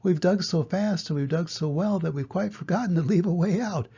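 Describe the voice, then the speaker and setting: A man's voice: high voice, brisk, gravelly, mellow, slightly rough, highs cut off, voice pushed up, exaggerated voice. A man voicing a proud, cheerful steam shovel operator in a children's storybook, confident and frank. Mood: sheepish.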